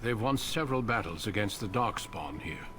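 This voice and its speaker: An actor playing a grave, seasoned male warrior leader, speaking in a measured, solemn way. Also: fairly low pitch, animated, noisy background, close to an everyday voice.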